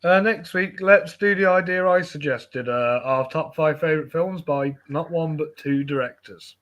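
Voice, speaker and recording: A man's voice: fairly high pitch, normal speed, a bit bright, muffled recording. A man co-hosting a podcast in a casual conversation about films. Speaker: podcast co-host. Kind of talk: casual film chat.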